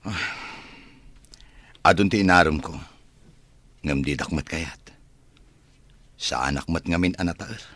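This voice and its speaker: A man's voice: low-pitched, a bit bright, no room sound. A man with a Filipino accent playing a mild, shy bachelor son, hesitant and earnest, in an audio drama. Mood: resigned. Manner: hesitant, halting. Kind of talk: amateur audio drama acting.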